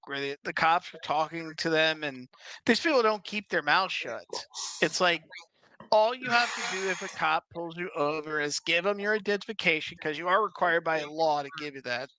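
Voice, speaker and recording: A man's voice: a little high, sharp tone, clean tone, low-quality recording. A man chatting casually on a livestream in a slangy, insistent, opinionated way. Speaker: livestream talker. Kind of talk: casual chat.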